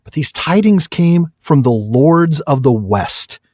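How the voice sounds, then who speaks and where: A man's voice: on the high side, lively intonation, slow, a little mellow, no room sound, clean studio sound. A man co-hosting a podcast, talking casually and with effusive enthusiasm about a book. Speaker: podcast co-host.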